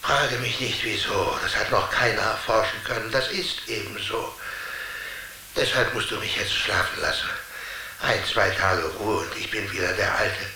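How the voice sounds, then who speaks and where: A kindly, scheming creature from inside a television, speaking bright, colloquial lines. He confides like a conspirator in a roomy radio drama recording with faint hiss. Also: fairly low pitch, normal speed. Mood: assured, confiding. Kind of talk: German radio drama acting.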